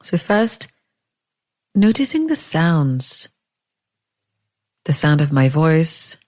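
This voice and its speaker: A woman leading a guided meditation in a gentle, unhurried way, leaving long pauses between phrases. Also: deep voice, big pitch swings.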